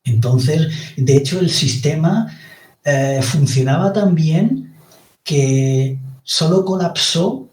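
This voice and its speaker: A man from Spain with a Spanish accent, a podcast guest explaining his views at a slow, deliberate and hesitant pace. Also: medium pitch, darkish tone. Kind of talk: explanatory monologue. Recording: roomy, distant from the mic.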